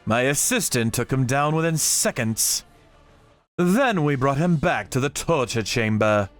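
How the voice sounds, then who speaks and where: A man's voice: medium pitch, sing-song, a little slow, faint music behind. A man narrating a dramatic story in the first person with theatrical delivery. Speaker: narrator of a manga channel story.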